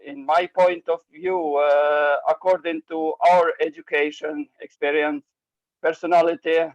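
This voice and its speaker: Guy talking slowly on a video call, halting english, kinda high voice, dull sound. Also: level tone, no room sound. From Albanian Icelandic, based in Norway. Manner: halting, broken phrasing in non-native English. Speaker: participant in a group coaching call. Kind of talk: hesitant, unscripted opinion sharing.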